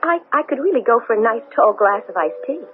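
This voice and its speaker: A woman's voice: on the high side, very animated, quick pace. A woman playing a determined, wry, weary astronaut in a 1970s radio drama, with emphatic theatrical delivery. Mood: wry, hurt. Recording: noisy lo-fi 1970s radio broadcast.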